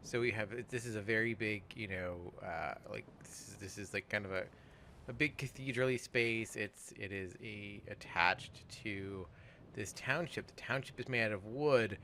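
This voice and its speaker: A man co-hosting a let's play, chatting casually and thinking aloud in a halting, musing way. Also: on the low side, bright, forceful tone, a little hiss or hum. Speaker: let's play co-host playing the game. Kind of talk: casual game commentary chat.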